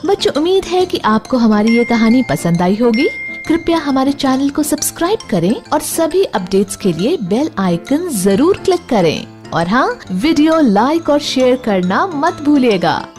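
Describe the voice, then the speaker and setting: A woman tells a children's story in a fairly high, very animated voice. She reads slowly and expressively, as if to young children. Faint music plays behind her. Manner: expressive, addresses children directly. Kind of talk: scripted bedtime story narration.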